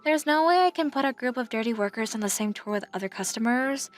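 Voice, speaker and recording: A snobbish young female travel agent from a manga dub, played by a woman, speaks in a fairly high voice. She is mock-polite and looks down on people, with faint music underneath. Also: lively intonation, fast, close to an everyday voice. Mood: condescending. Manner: phony, mock-polite, dismissive. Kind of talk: English manga dub acting.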